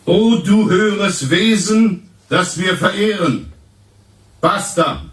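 A pompous essayist declaiming on tape, high-pitched, far off in an echoey room; radio play. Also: male voice, takes it slowly, fairly bright tone, a little hiss or hum. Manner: solemn, declaiming. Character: pompous, self-important male essayist lecturing. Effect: played back from tape. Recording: roomy, distant tape playback.

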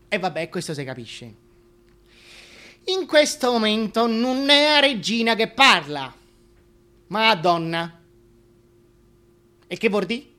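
A man playing an uneducated, folksy commoner with a Roman accent in a comic monologue. He reads aloud slowly and haltingly, then mutters puzzled asides, his pitch very lively. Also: full character voice.

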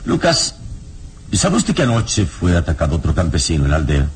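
An actor playing a calm, courteous adventurer hero in a radio serial, speaking in a measured, suave and gently ironic way. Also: male voice, low-pitched. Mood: courteous, probing. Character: calm, courteous, all-knowing adult male adventurer hero. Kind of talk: Mexican radio serial acting.

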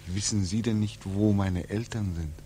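An actor playing a homesick, brooding young man back from war in a 1940s radio drama, with halting, repetitive, anguished speech. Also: fairly low pitch, steady tone, mellow, a little hiss or hum, natural voice. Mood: bewildered, pleading. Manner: halting, repetitive, swinging into agitated questioning. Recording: 1940s radio recording, music behind.

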